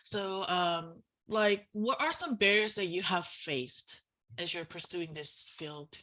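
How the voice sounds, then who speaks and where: A woman with a very bright, fairly low voice moderates a student panel over a video call. Her semi-formal delivery is halting and peppered with informal filler phrasing. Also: animated, highs cut off. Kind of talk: semi-formal presenting and interviewing. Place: online video call with screen sharing.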